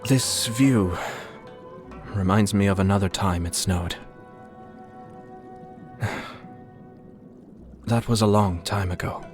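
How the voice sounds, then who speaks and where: An actor playing a suave young nobleman and scheming clan head in a video game, speaking in a polite, measured, formal and slyly amused manner. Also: lowish voice. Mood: reflective. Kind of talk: scripted video game character lines.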